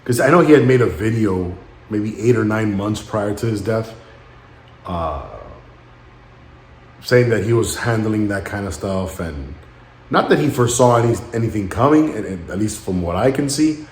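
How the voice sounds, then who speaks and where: Somber low-voiced man, roomy sound. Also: animated. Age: late 30s. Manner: halting, somber, introspective. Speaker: vlogger. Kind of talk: reflective personal vlog monologue.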